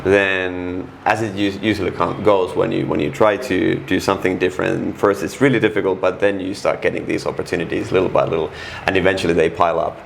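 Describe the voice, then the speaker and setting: Low gravelly-voiced Finnish man. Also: level tone, a little hiss or hum. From Finland. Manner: frank, halting, many restarts and fillers. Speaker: guest. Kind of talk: casual interview.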